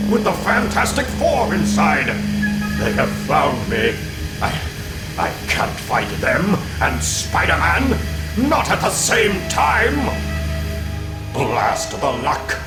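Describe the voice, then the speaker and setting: A man's voice: sits high, echoey room, heavily acted, rough recording. A man voicing a pompous, vengeful supervillain in a grandiose, menacing comic book dramatization. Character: pompous armored male supervillain, vengeful and theatrical.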